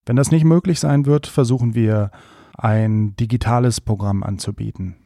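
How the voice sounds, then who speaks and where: A man's voice: medium pitch, normal speed, creaky, mellow, dry recording, clean studio sound. A man hosting a podcast, introducing and interviewing a guest in a friendly, conversational way. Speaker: podcast host.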